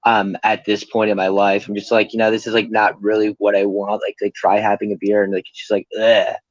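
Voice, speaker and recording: A man's voice: on the low side, monotone, brisk, no echo, lo-fi sound. A young man in recovery, talking candidly and casually as an interview guest, rambling with lots of fillers and swearing freely. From Pennsylvania.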